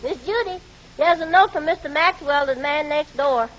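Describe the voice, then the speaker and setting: An actress playing a good-natured, sassy, wisecracking housemaid in a 1940s radio comedy. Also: female voice, high-pitched, normal speed, a bit bright, soft background music. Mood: good-natured, joking. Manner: familiar, wisecracking. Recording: muffled noisy old radio recording before audience.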